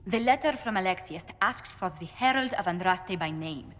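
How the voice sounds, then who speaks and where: A woman's voice: fairly low pitch, lively intonation, normal speed, fairly bright tone. Shrewd woman adviser, echoing hall. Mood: warning. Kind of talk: video game dialogue acting. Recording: long hall reverb, faint noise.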